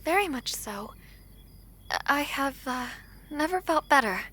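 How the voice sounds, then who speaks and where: A woman playing a gentle, earnest young princess in a romantic audio drama, speaking softly in formal, hesitant phrases. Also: high-pitched, expressive, a little slow. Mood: grateful, polite.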